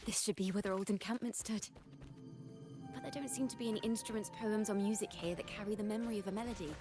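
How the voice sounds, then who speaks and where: A woman voicing a poised, encouraging young female traveller in scripted game dialogue, gentle and articulate. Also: medium pitch, fast talker, lightly acted. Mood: thoughtful. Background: game music.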